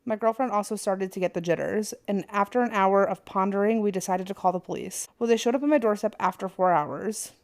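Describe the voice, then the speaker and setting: Woman co-host, casual reader, mid-pitch, stumbles and jokes while reading. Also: fairly quick, few pauses. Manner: reads aloud with asides and stumbles. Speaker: podcast co-host. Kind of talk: casual podcast chat, reading a forum post aloud.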